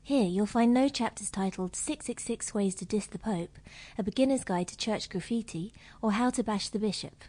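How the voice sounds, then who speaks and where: Female reader for a comic book introduction: warm, relaxed tone, dry tongue-in-cheek wit. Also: mid-range voice, moderate pace. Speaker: author reading audiobook introduction. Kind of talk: scripted humorous narration.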